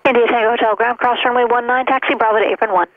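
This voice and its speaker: An air traffic controller giving clipped, procedural instructions in rapid phraseology over an aviation radio. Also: mid-range voice, fairly even intonation, dry recording. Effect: aviation radio transmission. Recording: tinny narrowband aviation radio.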